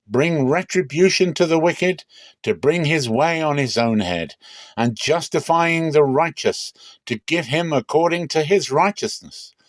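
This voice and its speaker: A man reading scripture aloud as a solemn, expressive dramatic reading. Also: on the high side, a little slow, no room sound. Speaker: Bible reader.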